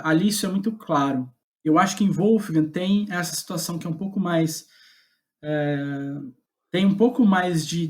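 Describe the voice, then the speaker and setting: A man with a Brazilian Portuguese accent, speaking as a guest playwright in a reflective interview, halting as he thinks aloud and often checking for agreement. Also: fairly high pitch, a little mellow. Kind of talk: reflective interview talk about own writing.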